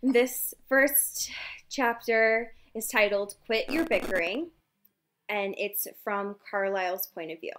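A woman's voice: mid-range voice, slow, a bit bright, poor audio. Chatty young woman recapping a book. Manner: chatty, swears freely, laughs often. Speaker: podcast co-host. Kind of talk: casual book recap chat.

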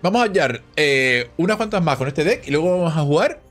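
A man in his thirties with a somewhat higher voice talks loosely and chattily into a headset mic, answering his chat as he plays. He is in a small home room, with faint game music under his voice. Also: animated, brisk, fairly bright tone. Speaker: streamer. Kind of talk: casual live-stream chat while playing.

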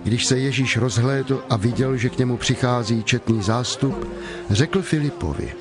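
A man narrating scripture as a measured storyteller from a script. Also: medium pitch. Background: prominent music.

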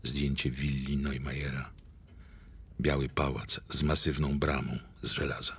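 A man performing as the grave narrator of a dark mystery audio drama, reading scripted literary prose. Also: rumbling low, little pitch movement, a little slow, slight background noise, heavily acted. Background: loud music.

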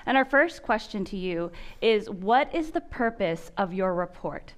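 A woman runs an interactive training session in a large conference room. She talks conversationally, asking the audience questions one after another, at an ordinary pitch. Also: very animated, measured pace. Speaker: prosecutor leading a training session.

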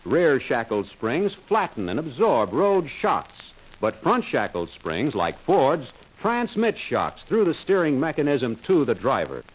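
A man's voice: mid-range voice, low-quality recording. A man narrating a 1950s sales training film in a confident, persuasive salesman's manner.

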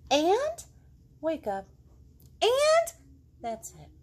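A woman voicing a blunt, sleepy owl from a picture book in short clipped phrases, hushed then emphatic. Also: very high voice, huge pitch swings, extremely slow, pitched above the performer's own voice, full character voice. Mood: blunt advice.

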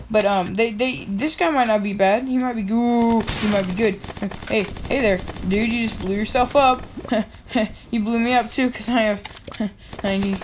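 A young woman with a slightly raspy voice, giving casual commentary over her own gameplay and yelling at other players. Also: medium pitch, a little hiss or hum, rough recording. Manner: excitable, yells at other players, quick laughs. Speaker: gaming channel host.

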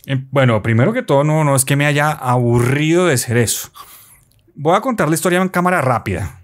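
Bogotá guy in his 40s on a podcast, lively storyteller, close desk mic. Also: on the high side, a little fast. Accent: Bogotá Colombian. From Colombia. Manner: animated, gestures while talking, playful asides. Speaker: guest, motorcycle traveler. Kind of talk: storytelling interview chat. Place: small decorated podcast studio.

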